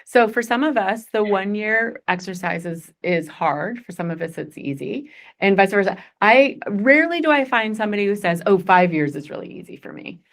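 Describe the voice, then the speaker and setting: A woman's voice: medium pitch, expressive, moderate pace, few pauses. A woman in her forties leading a goal-setting workshop, chatty and upbeat, laughing often. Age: 40s. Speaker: workshop facilitator.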